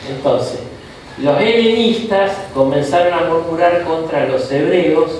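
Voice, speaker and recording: Argentine bishop lecturing in an echoey hall. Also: male voice, a little high, darkish tone, slight background noise. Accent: Argentine Spanish. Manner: conversational, frequent "¿no?" and "¿tá?" tags, asides. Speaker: bishop teaching a Bible course. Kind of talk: informal lecture to a class. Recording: echoey room, mic far off.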